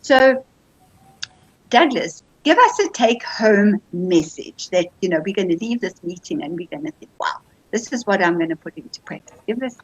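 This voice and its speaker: A woman's voice: medium pitch, sing-song, moderate pace, slightly rough, no echo. A woman hosting an online writers' seminar, interviewing conversationally with hesitant phrasing and repeated words. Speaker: host of a writers' group seminar. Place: online video call. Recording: dull, lo-fi video-call audio.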